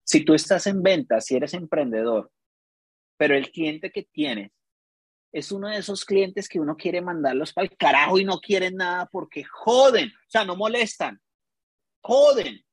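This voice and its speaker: Man, Colombian accent, business coach, husky, dry close sound, emphatic storyteller. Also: high-pitched, lively intonation, a little slow, dry recording. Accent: Colombian Spanish. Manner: storytelling, acts out dialogue, emphatic. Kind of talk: casual podcast chat.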